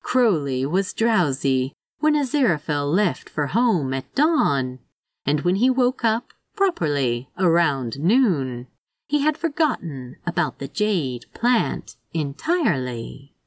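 A woman reading a story aloud in an expressive, wry and conversational way. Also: low-pitched, slow pace, no room sound. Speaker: fan fiction narrator. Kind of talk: expressive scripted story narration.

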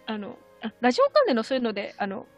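A woman with a slightly high, animated voice chats casually as a podcast co-host. She speaks quickly, with faint music in the background. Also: lo-fi sound. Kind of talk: casual chat.

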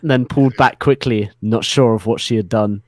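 A man's voice: mid-range voice, steady tone, moderate pace, a loud crowd. A man narrating fan fiction as a dramatic reading, giggly and often close to breaking up. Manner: giggly, keeps breaking into laughter.

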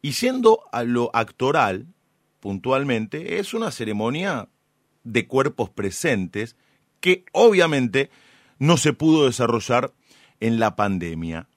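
A man with an Argentine accent hosting a radio interview in formal, admiring, deliberately phrased speech. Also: mid-range voice, very animated, no room sound, clean studio sound. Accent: Argentine Spanish.

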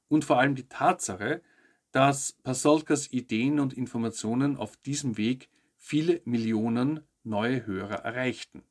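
A man hosts a news show, reading scripted narration with personal commentary in a measured, articulate way. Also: mid-range voice, a little mellow. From Austria. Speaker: host of a weekly UFO news show.

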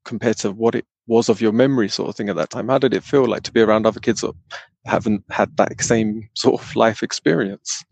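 Creaky-voiced male podcast interviewer. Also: on the low side, steady tone, brisk, a little mellow, no echo. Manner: loose, rephrasing questions as he goes. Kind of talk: casual conversational interviewing.

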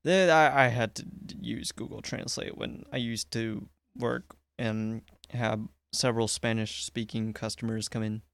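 Easygoing young man, smooth dry voice. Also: lowish voice, measured pace, few pauses, dry recording. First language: English. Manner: relaxed, reassuring, laughs easily. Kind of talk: casual chat.

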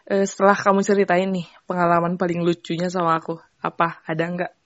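A young woman from Sumatra hosting a casual podcast chat, playful and quick to joke and laugh. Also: on the low side, level tone, no echo, highs cut off. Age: young adult. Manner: playful, joking, laughs often. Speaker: podcast host.

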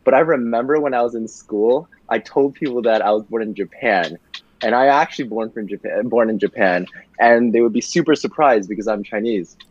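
A young man, a podcast guest, talking casually about his childhood, relaxed and full of fillers, laughing easily. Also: medium pitch, a little fast, no echo, dull audio. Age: young adult. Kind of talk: casual conversational storytelling.

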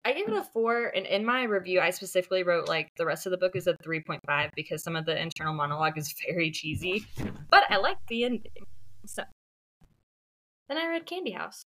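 A woman's voice: lowish voice, animated, a little fast, a bit bright, a little hiss or hum, rough recording. A woman co-hosting a book podcast, chatting casually and conversationally with frequent "like". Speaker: podcast co-host.

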